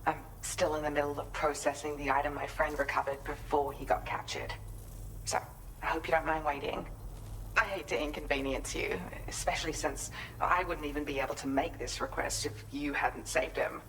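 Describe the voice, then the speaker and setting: Casting: kind, grateful woman inventor for game cutscenes; lowish voice, polite and articulate, faint noise behind. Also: fairly even intonation, moderate pace, a bit bright, poor audio. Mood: earnest, reflective. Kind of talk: scripted video game cutscene dialogue.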